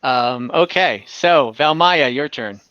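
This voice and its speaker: Bright forceful voice, game master, tabletop narration, conversational and explanatory, dull lo-fi online audio, dry. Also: mid-range voice, takes it slowly, dry recording.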